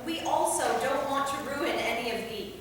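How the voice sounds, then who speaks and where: A woman's voice: sits high, moderate pace, fairly bright tone. A woman playing a sensible, earnest peacekeeper in a staged reading, a little bossy. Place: theater stage, recorded from a distance. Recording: very echoey hall, far mic, noisy.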